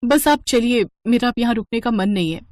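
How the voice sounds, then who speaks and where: A woman's voice: on the high side, expressive, brisk, a little mellow, no echo, natural voice. A woman voicing a humble, earnest poor sister with a polite, plain manner in a cartoon moral story. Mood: hurt.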